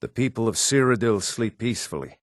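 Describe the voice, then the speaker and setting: An actor playing a proud, stern Nord man in a fantasy video game, with a measured, weighty delivery. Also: mid-range voice, animated, no echo, studio quality, natural voice.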